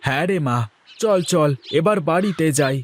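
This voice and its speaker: A man's voice: on the high side, moderate pace, a little hiss or hum, close to an everyday voice. A man voicing a poor, anxious village husband and father in a cartoon, speaking in an earnest, plaintive dramatic way. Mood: tender. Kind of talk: Bengali cartoon story dialogue.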